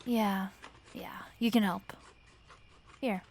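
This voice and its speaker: A woman playing a tired, wry, sleepless roommate in a soft-spoken audio roleplay, talking casually and haltingly with fond, dry teasing. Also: mid-range voice, steady tone, creaky, slight background noise. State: sleepy, weary.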